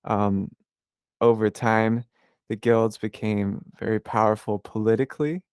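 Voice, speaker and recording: A man's voice: fairly low pitch, level tone, unhurried, darkish tone, smooth, dry recording, clean studio sound. A man hosting a podcast, explaining history in a casual, rambling way with many fillers and restarts. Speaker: podcast host. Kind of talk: casual educational conversation.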